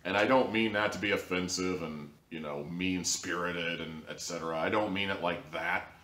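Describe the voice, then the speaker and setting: A man reviewing an audio drama in a rambling, sarcastic monologue, his pitch jumping up now and then for emphasis. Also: moderate pace, fairly bright tone, reverberant.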